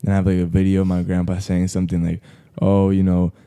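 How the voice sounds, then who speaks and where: Deep, gravelly laid-back young man. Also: monotone, fast, warm tone. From Colombia, raised in South Florida. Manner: laid-back, heavy use of "like" fillers, slangy. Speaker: photographer guest. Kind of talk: casual podcast interview. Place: podcast studio.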